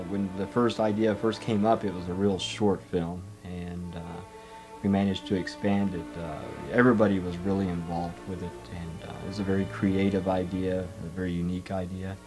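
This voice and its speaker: Man, very deep voice, casual interview, reminiscing, music behind. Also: level tone, a little fast. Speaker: amateur voice actor being interviewed.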